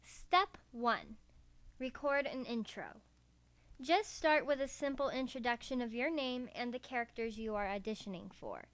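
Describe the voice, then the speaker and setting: A woman's voice: on the high side, expressive, measured pace, no room sound. A woman narrating a scripted how-to tutorial in a careful, step-by-step way. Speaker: voice acting tutorial presenter. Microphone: USB karaoke microphone.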